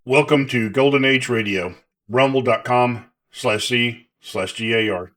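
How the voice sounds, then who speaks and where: A man's voice: medium pitch, a little slow, loud music behind the voice. A man reading a scripted channel announcement.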